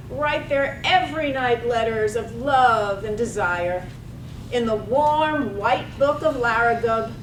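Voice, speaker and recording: A woman playing a lyrical, wry narrator in a staged reading of a poetic radio drama. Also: on the high side. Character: lyrical, wry woman storyteller of a small town. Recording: echoey room, distant, faint noise.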